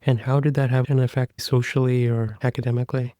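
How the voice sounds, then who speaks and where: A man, a physician hosting a podcast, reading a formal introduction and asking interview questions in a measured way. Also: mid-range voice, little pitch movement, warm tone, dry recording, clean studio sound.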